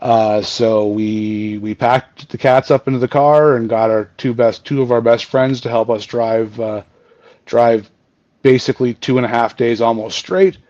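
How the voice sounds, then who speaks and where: A Canadian man in his forties with a booming voice, telling his story in a rambling way as a podcast guest. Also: fairly even intonation, unhurried, a little mellow, no room sound, lo-fi sound. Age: 40s. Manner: rambling, many fillers and restarts. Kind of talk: casual storytelling chat. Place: home. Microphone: remote video call.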